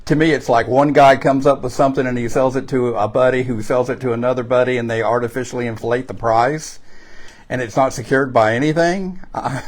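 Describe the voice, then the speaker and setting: Folksy Texan man pitching real estate. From Texas. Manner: folksy and chatty, with frequent fillers and self-corrections. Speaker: real estate investment promoter. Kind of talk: direct-to-camera sales pitch.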